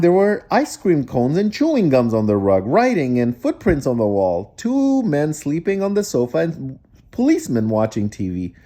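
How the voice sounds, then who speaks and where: A woman's voice: deep voice, mellow. A woman reading a children's story aloud expressively, breaking off for incredulous casual asides. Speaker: storybook reader.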